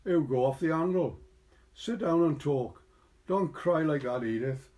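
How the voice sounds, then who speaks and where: A man's voice: fairly high pitch, unhurried, dark tone, lightly acted. A man voicing a defensive, pleading young man caught two-timing in a storybook reading.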